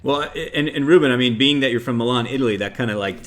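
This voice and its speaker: Rapid, gushing male host, stammering restarts, mid-pitched. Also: a bit bright. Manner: effusive, stammering restarts, lots of "like". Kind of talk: enthusiastic interview chat.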